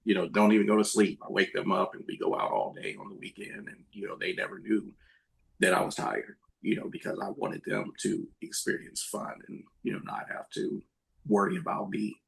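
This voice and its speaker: A man's voice: deep voice, big pitch swings, normal speed, gravelly, breathy edge, poor audio. A middle-aged man, a screenwriter answering questions in a candid, earnest and reflective way. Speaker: screenwriter, panel guest.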